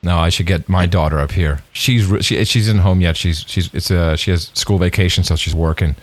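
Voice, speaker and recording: A man's voice: low-pitched, fairly even intonation, brisk, no echo. A middle-aged man co-hosting a podcast in casual chat, joking and sarcastic. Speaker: podcast co-host.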